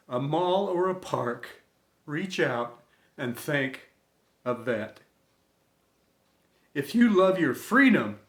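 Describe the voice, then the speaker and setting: Slow, earnest American man, echoey room. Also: on the high side. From United States. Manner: earnest, reverent, deliberate phrasing with dramatic pauses. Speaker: storyteller reading own inspirational tribute. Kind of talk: scripted inspirational spoken tribute.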